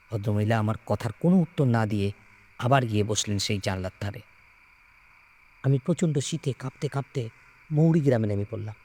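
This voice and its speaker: A man narrating a scripted ghost story in the first person, in a dramatic, expressive way. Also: medium pitch.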